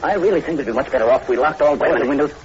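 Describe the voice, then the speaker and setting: An actor playing a sceptical, gentlemanly doctor, nervous, cautious and proper in an old-time radio horror drama. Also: male voice, medium pitch, racing, natural voice. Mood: uneasy, cautious. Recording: muffled old radio recording with hiss.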